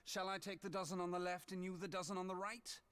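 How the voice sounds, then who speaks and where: A man's voice: sits high, flat delivery, fast talker, fairly bright tone, smooth. An actor playing a charming, roguish young male dagger fighter with a playful, wry way of speaking in a game cutscene.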